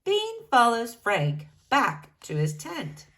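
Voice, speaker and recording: A woman reading a children's storybook aloud, narrating deliberately and expressively for young listeners. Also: on the high side, huge pitch swings, fairly bright tone.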